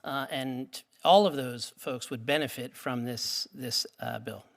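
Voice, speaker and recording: A man giving formal testimony at a hearing as an industry witness, explaining earnestly in a slightly halting way. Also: medium pitch, vocal fry. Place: legislative hearing room.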